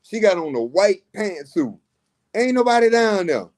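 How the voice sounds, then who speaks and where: A man's voice: sits high, very animated, a little mellow. A man in casual political chat, heated and profane, leaning hard on his words. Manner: heated, profane, drawn-out emphasis.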